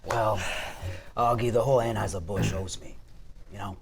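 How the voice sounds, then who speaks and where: A man playing a weary, quietly menacing 1920s mob boss and husband at a table reading of a TV script. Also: on the low side, fairly even intonation, moderate pace, gravelly, husky. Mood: hesitant, confiding.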